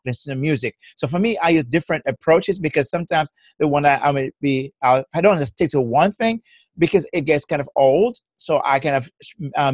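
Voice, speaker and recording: A man with a Jamaican accent gives reflective, encouraging advice as a guest on an interview show, laughing easily between points. His voice sits at a middle pitch and is recorded dry and close. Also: no room sound. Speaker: personal development coach.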